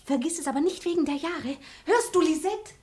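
A woman's voice: high voice, expressive, a little fast, darkish tone, slight background noise. A woman playing a naive, headstrong young girl of a good house in a classic comedy radio play, pert, girlish and impetuous. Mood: eager, insistent.

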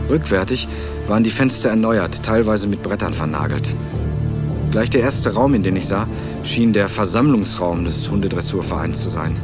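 A man's voice: low voice, close to an everyday voice. A male actor playing a young student sleuth, narrating a crime radio play in a dry, laconic, sardonic manner over music. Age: young adult. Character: young male student turned amateur sleuth, wry, hard-boiled narrator.

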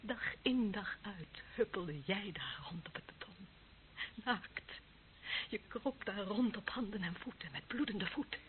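A woman's voice: mid-range voice, measured pace, lightly acted. An actress playing an embittered, lonely young policewoman in a radio drama, bitter and taunting, often dropping to a whisper. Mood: coldly menacing. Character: embittered, lonely young policewoman, former death squad member. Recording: muffled phone-like band, clearly noisy old recording.

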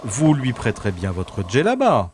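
A man's voice: mid-range voice, huge pitch swings, moderate pace, noisy background. A man playing a wry, gallant adventurer in an audio drama, speaking formally and thinking aloud. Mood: wry, reassuring. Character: wry, gallant male adventurer rescuing a young woman. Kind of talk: French-language audio drama acting with first-person narration. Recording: echoey long reverb, music behind.

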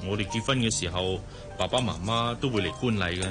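A male actor playing an affluent, patient fiancé in a Cantonese radio drama, reasoning gently and confidently in a Hong Kong accent. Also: on the low side, fairly quick, close to an everyday voice. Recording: music behind the voice.

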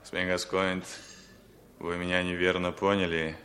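A reserved, courteous businessman in a vintage radio drama. His voice is lowish and crisp-edged, bright, with guarded, mildly wry phrasing. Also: level tone, normal speed, slight background noise. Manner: brief, courteous, guarded replies. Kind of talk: 1960s Soviet radio play acting.

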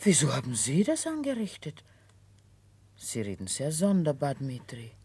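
A practical, plain-spoken old housekeeper in a vintage German radio play. Her voice is rough-edged and husky, with lively swings in pitch, close and dry. She sounds motherly and matter-of-fact. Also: normal speed, no echo, heavily acted. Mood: reproving, practical. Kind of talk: 1960s German radio drama acting.

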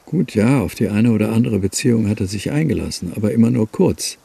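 Radio drama: a sober, clipped brother figure, gravelly and rough-edged. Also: male voice, on the low side, expressive, fast talker, dark tone, dry recording, full character voice. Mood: sober, probing. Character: dead man's brother, sober and curt. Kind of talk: radio play dialogue.

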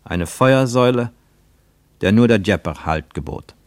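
A man reading scripted literary narration for radio in a measured, solemn manner. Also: lowish voice, lively intonation, no room sound.

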